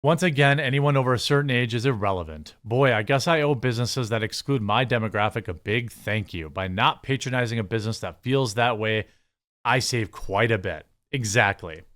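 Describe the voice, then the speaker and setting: An American man with a mid-pitched voice hosts a news commentary show. He moves between reading articles aloud and reacting in his own words. His delivery is blunt and sarcastic, with exasperated asides. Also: brisk, a bit bright.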